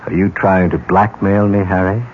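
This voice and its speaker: A man's voice: deep voice, little pitch movement, normal speed, a little hiss or hum, close to an everyday voice. An actor in a 1970s radio mystery playing a firm, suspicious businessman. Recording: thin phone-like 1970s radio recording.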